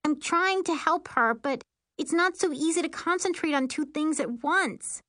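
Woman voicing an irritable, high little witch girl. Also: moderate pace, a bit bright, dry recording, studio quality. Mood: flustered. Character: irritable, easily flustered younger sister, a young girl witch.

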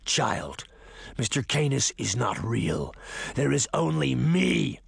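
A man voicing a menacing wolf monster in a storybook, mocking and slow, with a low growl. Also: sing-song, vocal fry, slightly rough, no room sound, full character voice. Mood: snarling. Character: menacing male wolf monster, sadistically amused, taunting.

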